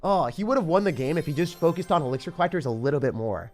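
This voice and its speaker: A young man from the Boston area streaming a game live, chatting with viewers, excitable and laughing often. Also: fairly high pitch, fast, soft background music. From Boston area, United States. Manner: excitable, laughs often, reads chat questions aloud. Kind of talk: live-stream gameplay commentary.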